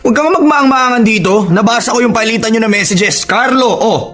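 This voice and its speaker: Jealous fiancé, played by a man: high male voice, Filipino accent, confrontational and hot-tempered audio drama delivery. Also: fairly quick, fairly bright tone. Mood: angry, accusing. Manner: confrontational, demanding.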